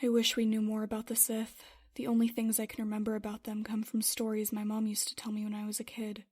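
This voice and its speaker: A woman's voice: mid-range voice, completely flat delivery, fast talker, darkish tone, close to an everyday voice. A woman playing a worried, self-doubting starship pilot in a roleplay series, earnest and hesitant. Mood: pensive. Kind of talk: Minecraft roleplay web series voice acting.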